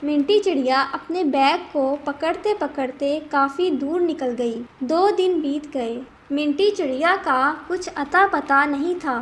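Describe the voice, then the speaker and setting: Woman, storyteller, very high pitch, slow pace, scripted children's story narration.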